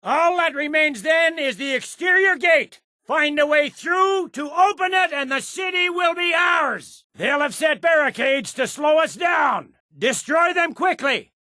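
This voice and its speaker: Imperial general, middle-aged: proud, impatient, forceful and authoritative, dry close sound, for a fantasy game. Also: male voice, very high-pitched, lively intonation, dry recording, heavily acted. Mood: rallying, commanding. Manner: commanding, clipped military authority, deliberate emphatic delivery. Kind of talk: scripted video game voice acting.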